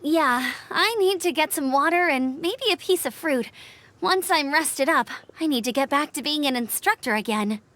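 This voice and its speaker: A woman's voice: high-pitched, animated, normal speed, fairly bright tone. A woman voicing a tired, earnest young female instructor in an anime-style game, friendly and plain-spoken.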